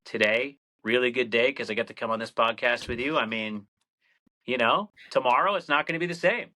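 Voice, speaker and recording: Bright-voiced man in his thirties, chatty. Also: medium pitch, a little fast. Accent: slight Boston. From Massachusetts (Cape Cod). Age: 30s. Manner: rambling, heavy filler "like", animated quotes. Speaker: radio programmer, podcast guest. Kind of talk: casual podcast conversation.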